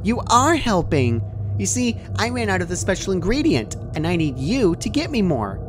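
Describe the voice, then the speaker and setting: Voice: mid-range voice, very animated, normal speed, music in the background, pitched above the performer's own voice, natural voice. A performer voicing a cheerful but deranged female party pony in a story reading, bubbly and sweetly menacing. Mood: chiding, chirpy. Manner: giggly, bubbly, casually chiding.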